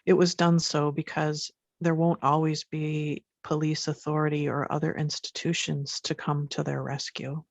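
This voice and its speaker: A woman from Haiti, a women's rights advocate, reading a prepared statement in an even, measured way. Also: low-pitched, dry recording. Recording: dull dry recording.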